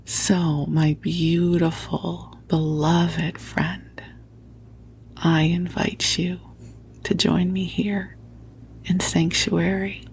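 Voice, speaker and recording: A woman speaks in a slow, hushed whisper with a crackly, creaky edge. She is tender and soothing, like a guide easing a listener to sleep with spiritual talk. The microphone is very close, with a faint noise behind her. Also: lowish voice, little pitch movement. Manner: soothing, tender, unhurried, affectionate. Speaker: sleep podcast host and spiritual teacher. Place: at home under a blanket.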